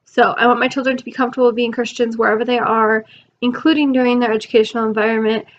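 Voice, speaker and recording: A woman's voice: on the high side, fairly even intonation, fast, lo-fi sound, a little hiss or hum. A young mom vlogging from her laptop, chatty and rambling with lots of ums, her voice a bit worn from being sick. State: recovering from illness, losing her voice. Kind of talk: casual talk to camera. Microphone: laptop mic.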